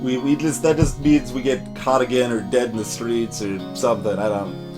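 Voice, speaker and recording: A man's voice: mid-range voice, fairly even intonation, moderate pace. A man hosting a video game let's play, mixing acted dialogue with joking, digressive asides. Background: soft game music.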